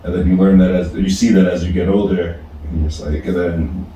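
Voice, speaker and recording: Co-host, male, 30s, low and mellow, easygoing and rambling, heard off-mic in an echoey room. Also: brisk, highs cut off. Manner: relaxed, trailing off, many fillers. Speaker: podcast co-host. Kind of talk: casual reflective chat. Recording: roomy, distant mic with noise.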